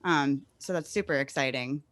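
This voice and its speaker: A woman's voice: very deep voice, moderate pace, a bit bright, no echo. A woman hosting a casual podcast interview in an enthusiastic, affirming manner. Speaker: podcast host interviewing a guest.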